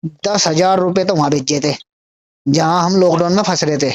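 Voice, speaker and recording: A man's voice: fairly high pitch, normal speed, no echo. A man with a western Uttar Pradesh accent speaking fervently and insistently on a recorded phone call, repeating himself to press his point. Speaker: supporter of a local politician. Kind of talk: informal phone conversation.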